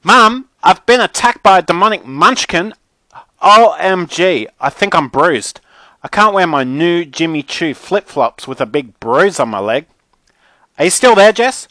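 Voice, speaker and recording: A man acts out a spoiled teenage girl in a children's audiobook. Her voice is pushed high and swoops around with indignation. She is whiny, bossy and melodramatic, talking in teen slang. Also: animated, measured pace, pushed and bright, dry recording, heavily acted. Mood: whining outrage.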